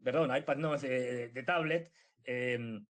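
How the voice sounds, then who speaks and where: A man giving casual live political commentary, halting and correcting himself as he explains. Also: mid-range voice. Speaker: talk show commentator.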